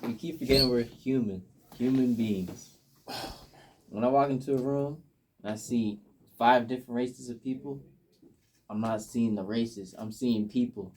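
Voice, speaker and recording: A man's voice: mid-range voice, fairly even intonation, a little slow, darkish tone, a little hiss or hum. An American man in a casual podcast conversation, speaking earnestly with slang and repeating phrases for emphasis.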